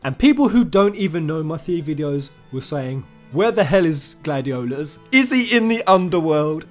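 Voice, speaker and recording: Man, fairly high voice, casual gaming commentary, excitable, informal, sweary, light music underneath. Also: normal speed. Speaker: gaming channel host. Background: background music.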